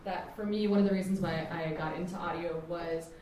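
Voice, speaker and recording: A woman from Texas with a deep voice, an audio producer speaking earnestly on a panel. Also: fairly even intonation, a little fast. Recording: echoey room, distant mic, faint noise.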